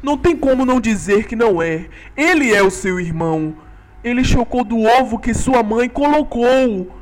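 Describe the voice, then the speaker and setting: A man voicing a gentle, worried father dinosaur who reassures his young hesitantly. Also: very high-pitched, expressive, moderate pace. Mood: reassuring.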